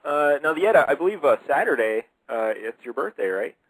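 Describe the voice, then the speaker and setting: A man's voice: on the high side, big pitch swings, fairly quick, a bit bright, no echo, poor audio. A man in his thirties hosting a radio show, prompting a caller with questions in an amused way. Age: 30s. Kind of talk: casual call-in interview.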